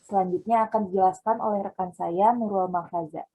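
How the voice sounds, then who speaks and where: Young woman student reading out her class presentation, soft-edged mellow tone, bit raspy. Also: medium pitch, fairly even intonation, a little fast, low-quality recording. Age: young adult.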